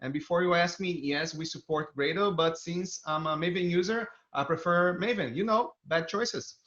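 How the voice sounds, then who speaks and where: A man's voice: a little high, normal speed, a bit bright. A man with a Brazilian accent gives an upbeat, enthusiastic technical talk, walking viewers through a live coding demo. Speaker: developer advocate presenting a tech talk. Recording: dull, band-limited video stream audio.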